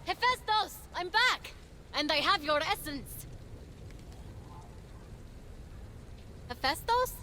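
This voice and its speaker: A woman's voice: very high voice, sing-song, measured pace, slight background noise, heavily acted. A woman playing a brave, eager and caring young heroine in a video game cutscene. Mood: urgent, excited. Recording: loud game music underneath.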